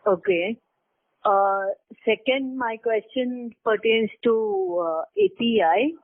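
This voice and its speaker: A woman with an Indian accent, an analyst putting questions on an earnings call in a halting manner. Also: a little high, lively intonation. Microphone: telephone. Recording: narrow, tinny phone line.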